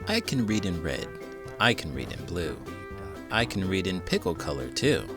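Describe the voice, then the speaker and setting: A man's voice: deep voice, sing-song, normal speed. A man hosting a children's storybook read-aloud, theatrical and full of big emotion, stretching words for effect. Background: music.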